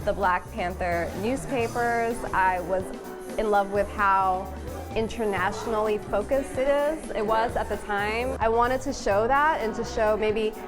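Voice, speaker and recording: A woman artist describes her research-based work in a thoughtful way and pauses now and then with small hesitations. Clear music plays beneath her. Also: mid-range voice. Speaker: visiting artist. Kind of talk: interview answers. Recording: music bed underneath.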